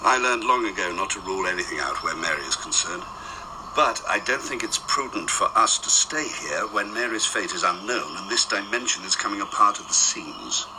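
An actor playing an urbane, precise department chief in a radio drama, measured and dry. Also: male voice, lowish voice, lively intonation, background noise, lightly acted.

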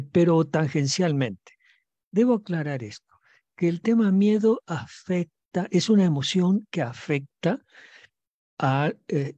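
An older man talks things through in an unhurried, reflective way, pausing on fillers and restarting phrases. His voice is dark and mellow, and the headset mic keeps the sound close and dry. He speaks slowly. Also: fairly high pitch, no echo. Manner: slow, thoughtful, with many fillers and restarts. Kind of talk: reflective talk on a video call. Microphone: headset mic on a video call.

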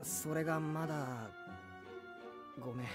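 A woman's voice: low-pitched, a little slow, music in the background. A woman playing an earnest, easily startled schoolboy who stammers, in a Japanese anime audio drama. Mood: puzzled. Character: flustered, earnest teenage schoolboy with amnesia.

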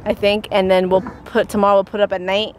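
A young woman vlogging from a rowboat on a lake, chatty and excitable, talking into a handheld camera. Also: mid-range voice, quick pace. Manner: chatty and excitable, often laughing. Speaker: family vlogger. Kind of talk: casual vlog chat. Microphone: handheld camera at arm's length. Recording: outdoor camera audio with noise.

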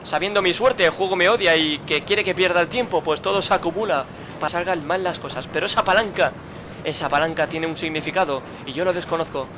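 A man's voice: on the high side, brisk, background noise. A man commenting his own gameplay, muttering, grumbling and swearing at the game. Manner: frustrated, swearing, muttering to himself. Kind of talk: let's play commentary.

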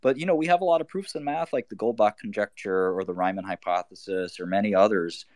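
A man's voice: on the low side, fast, a little mellow, no room sound, clean studio sound. A man from Albania hosting a live audio chat, talking loosely with many fillers and restarts. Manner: rambling, lots of fillers and restarts. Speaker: host.

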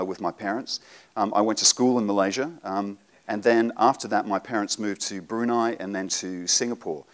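A man in his forties giving a live seminar talk to an audience in a conference room, relaxed and explanatory with frequent ums. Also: lowish voice. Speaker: presenter.

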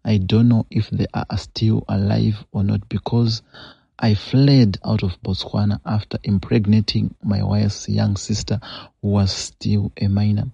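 A man's voice: fairly low pitch, vocal fry, warm tone, breathy edge, no room sound. A man with a Zimbabwean English accent hosting a show, reading a confession aloud and then giving earnest, scolding advice with repeated phrases. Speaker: host. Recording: dull lo-fi dry recording.

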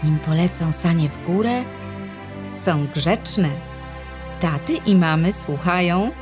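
A woman narrating a rhyming children's tale in a gentle, measured way. She speaks slowly in a lowish voice, with music playing under her words.

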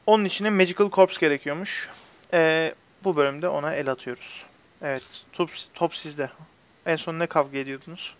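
A man's voice: fairly high pitch, moderate pace, clear, resonant tone. A young man hosting a gaming video, giving casual let's-play commentary while chatting with friends. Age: young adult. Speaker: host of a gaming video channel. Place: indoors at a computer, webcam shown.

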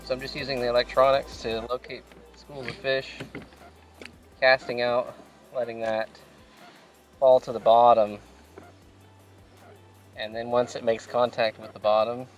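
A man in his thirties with a Pacific Northwest American accent talks through his fishing technique from a kayak out on a lake. His delivery is relaxed and explanatory, dotted with ums and uhs. A faint music bed sits beneath the outdoor sound from the boat-mounted camera. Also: medium pitch, measured pace, pauses often. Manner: relaxed, explanatory, with frequent fillers. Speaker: fishing show host. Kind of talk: casual instructional commentary. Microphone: action camera mounted on the kayak.

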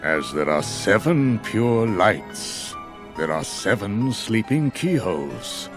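A man voicing a stern old wizard master in a game, solemn, measured and authoritative as he explains. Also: lowish voice, big pitch swings, over music. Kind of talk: solemn scripted game exposition.

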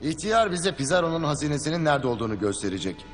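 A man's voice: fairly high pitch, big pitch swings, rapid-fire, in a large hall. An actor playing an easygoing, confident adventurer father in a dubbed cartoon. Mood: warm. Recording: loud music behind the voice.

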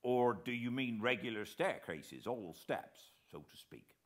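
A man's voice: mid-range voice, expressive, unhurried, pitched above the performer's own voice. A British man voicing a respectful, musing old coast guard officer in a storybook reading. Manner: deferential, musing, helpful.

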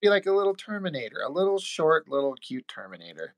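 Man, playful drawing-stream host, animated delivery. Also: a little high, quick pace, dry recording. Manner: enthusiastic, teasing, acts out quotes. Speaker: artist host. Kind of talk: casual chat while drawing.

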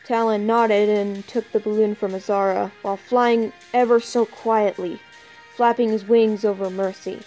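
A woman's voice: medium pitch, fairly even intonation, moderate pace, warm tone, muffled recording, soft background music. A woman reading scripted fan fiction as storyteller, occasionally stumbling over the written words.

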